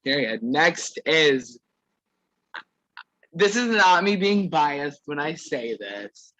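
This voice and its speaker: A man hosts an online game over a video call, calling each player in turn with a sassy, playful flair. He talks slowly in a bright, tense voice. The call audio is dry and a little dull. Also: fairly high pitch, very animated, no echo. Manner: playful, sassy catchphrase "period". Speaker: host of an online reality game. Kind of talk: casual video call introductions.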